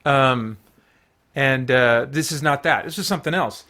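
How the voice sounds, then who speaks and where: A man's voice: medium pitch, a little slow, pushed and bright. A man chatting casually as a livestream host, in a rambling, self-deprecating way.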